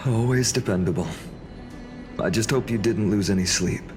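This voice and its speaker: An actor playing a calm, noble young warrior lord in a video game, speaking in a measured, comradely way. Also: male voice, fairly low pitch. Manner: measured, gently teasing.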